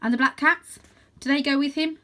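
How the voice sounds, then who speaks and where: Woman voicing a high, earnest young male cat. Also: fairly even intonation, fairly quick, pushed and bright. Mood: determined, urgent. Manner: urgent, questioning, emphatic.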